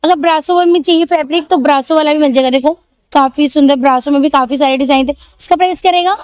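A woman's voice: sits high, quick pace, no echo. A woman vlogging from an outdoor street market on a handheld phone, chattily repeating each price for her viewers. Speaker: shopping vlogger. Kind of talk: market walkthrough vlog.

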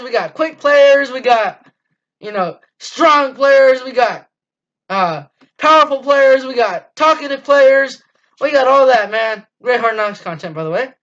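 A man's voice: extremely high pitch, fairly bright tone. A teenage boy hosting a casual sports review from a small dim room, talking enthusiastically with lots of ums and restarts. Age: teens. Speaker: fan channel host. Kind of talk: casual postgame review monologue. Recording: dull lo-fi webcam audio.

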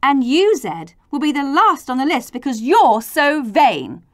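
A cross, impatient storybook grown-up, acted by a woman reader. The delivery scolds and grumbles, high and very expressive, in a dry recording. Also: unhurried, no room sound. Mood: stern. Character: stern, impatient grown-ups scolding squabblers. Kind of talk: children's storybook characters.

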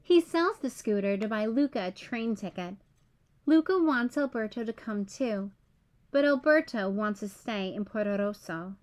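A woman reading a children's picture book aloud as a storyteller, in clear, simple, expressive storybook delivery. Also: mid-range voice, normal speed.

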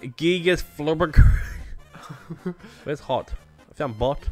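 A man's voice: fairly high pitch, slow pace, faint music behind. A young man chatting and laughing while playing a video game with friends. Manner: laughing, playful banter. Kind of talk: casual let's-play chat.

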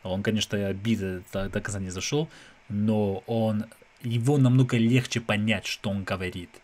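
A young man from England, a native English speaker with an English accent, giving casual reaction commentary in an animated, slangy way with English interjections. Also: lowish voice, moderate pace. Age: young adult. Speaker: reaction video host. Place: small room at home. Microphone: desk microphone close in front, headphones on.

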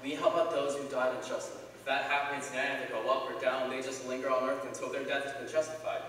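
A man's voice: medium pitch, level tone, fast, a bit bright, natural voice. A young man on a theater stage, playing a matter-of-fact afterlife agent with a projected, declarative delivery. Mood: explanatory. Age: young adult. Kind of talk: school stage comedy acting. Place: theater stage, recorded from the audience. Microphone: far camera. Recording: echoey theater hall, distant mic, faint noise.

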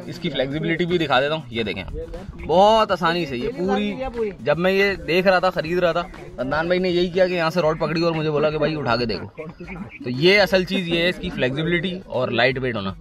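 A man in his thirties gives a relaxed, chatty fishing-gear review outdoors on a lakeshore. His voice sits a little high for a man and is picked up by a camera a few feet away. Music plays clearly underneath. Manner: relaxed, chatty, talks while handling gear. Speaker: fishing vlogger. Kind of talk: casual outdoor product review. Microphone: camera on a tripod a few feet away.

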